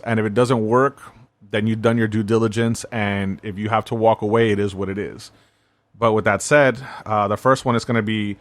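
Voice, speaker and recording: A man with a fairly low voice hosts a self-help podcast in a relaxed, conversational monologue. He keeps checking in with his listeners as he talks. The sound is dry and close, with no room around it. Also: no room sound. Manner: laid-back, conversational, frequent "right?" asides. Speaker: podcast host.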